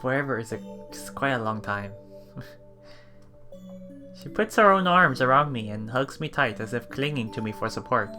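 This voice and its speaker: A man's voice: mid-range voice, animated, measured pace, long pauses, sharp tone. A man doing a let's play, reading a visual novel aloud as narrator and adding casual remarks. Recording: game music behind the voice.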